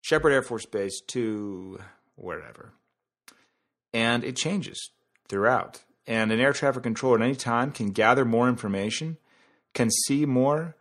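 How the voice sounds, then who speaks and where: A man's voice: medium pitch, fairly bright tone. A man hosting a podcast in a casual, conversational monologue, explaining things through analogies and asides. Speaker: podcast host.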